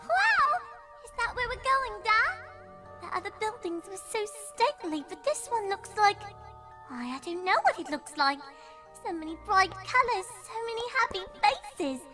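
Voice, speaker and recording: Squeaky, excitable little girl pony. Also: moderate pace. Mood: awestruck. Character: excited, eager young filly pony, female child. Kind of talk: dramatised fan fiction audio. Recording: music behind the voice.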